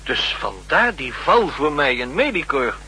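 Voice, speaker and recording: An actor playing an anxious, remorseful junior agent in a 1960s radio drama, deferential and halting as he confesses. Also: a little high, sing-song, pushed and bright, full character voice. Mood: uneasy. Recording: humming, hissy 1960s radio recording.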